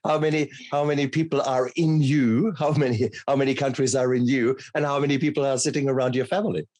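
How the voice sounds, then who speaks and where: A man's voice: medium pitch, fairly even intonation, fast talker, darkish tone, no room sound. A man who grew up in Germany, hosting an interview in a casual, hesitant way and often repeating his words. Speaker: podcast host, doctor.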